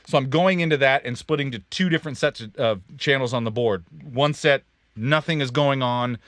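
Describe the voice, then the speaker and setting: Midwestern man, studio host, rambling and jokey, mid-pitched, dry close sound. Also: a little fast, fairly bright tone, no echo. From Kansas, USA. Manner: rambling, self-correcting, jokey. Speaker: recording studio owner and livestream host. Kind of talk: casual technical livestream chat. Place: recording studio control room.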